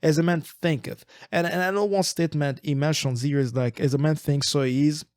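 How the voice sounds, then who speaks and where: A man's voice: fairly high pitch, fairly even intonation, brisk, a little mellow, no room sound, studio quality. A man in his forties from Eastern Congo, co-hosting a podcast, talking earnestly in a reflective way and often repeating words as he restarts his sentences. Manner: earnest, frequent word repetitions and restarts. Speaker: podcast co-host. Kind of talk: reflective casual chat.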